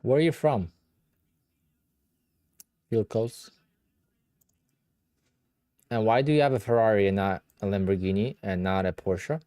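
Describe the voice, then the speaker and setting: A man's voice: on the low side, steady tone, unhurried, pauses often, dark tone, no echo, clean studio sound. A man with a Polish accent, Polish his first language, hosting a casual livestream and answering viewer questions in a blunt, offhand way. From Poland. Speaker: livestream host.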